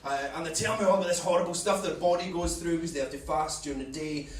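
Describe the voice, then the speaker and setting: Energetic Scottish stand-up comedian. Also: male voice, on the high side, fast, a little hiss or hum. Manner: energetic, shouting punchlines. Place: comedy club stage. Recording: echoey club from far off, loud crowd.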